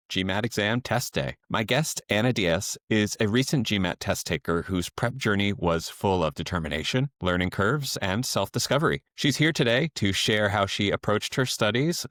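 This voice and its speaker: A man's voice: on the low side, a bit bright, no room sound, clean studio sound. A man hosting an interview podcast, introducing his guest and asking questions. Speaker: podcast host.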